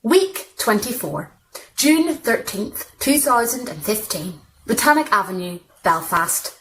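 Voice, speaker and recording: A woman's voice: fairly high pitch, lively intonation, measured pace, noisy background. A woman reads literary short fiction aloud as a storyteller, in scripted narration. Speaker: story reader.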